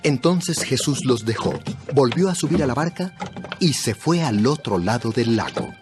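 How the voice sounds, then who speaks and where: A man's voice: mid-range voice, animated, normal speed. A man narrating a dramatized audio Bible in a scripted storytelling style. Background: background music.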